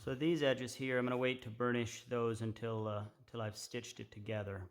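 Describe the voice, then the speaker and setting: A middle-aged man giving a casual, unhurried hands-on tutorial in an informal, explanatory way. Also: mid-range voice, flat delivery. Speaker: craft tutorial host. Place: indoor workshop at a workbench. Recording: clear background noise with clicks.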